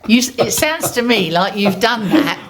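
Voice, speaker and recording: A woman's voice: medium pitch, lively intonation, normal speed, slight background noise. Amused woman asking questions. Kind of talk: casual kitchen chat.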